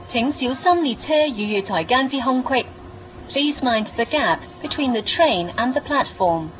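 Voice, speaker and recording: High-pitched woman giving safety announcements. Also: lively intonation, a little slow, a bit bright. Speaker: train announcer.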